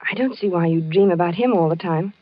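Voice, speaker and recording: An actress playing a patient, worried wife who narrates in a 1940s radio drama, soothing and gently probing. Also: female voice, fairly low pitch, fairly quick, lightly acted. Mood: coaxing. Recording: dull lo-fi old radio broadcast with music.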